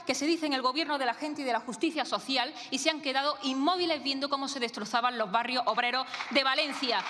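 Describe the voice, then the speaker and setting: A woman's voice: high-pitched, pushed and bright. A young woman politician with a Castilian Spanish accent, giving a formal parliamentary speech in an emphatic, accusatory manner. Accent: Castilian Spanish from Spain. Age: young adult. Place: large parliamentary chamber. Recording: echoey hall, reverberant chamber sound.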